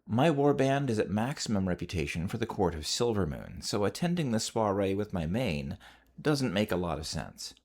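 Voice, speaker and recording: Man, deep low pitch, animated intonation, scripted podcast report, measured, orderly delivery. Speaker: podcast contributor sending a recorded report. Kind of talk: scripted first-person report on gaming.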